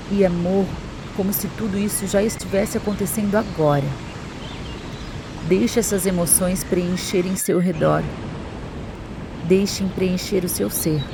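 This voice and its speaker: A Brazilian woman narrating a scripted guided meditation in a soothing, measured, instructional manner. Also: fairly low pitch. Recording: constant waterfall noise behind the voice. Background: waterfall and nature sounds.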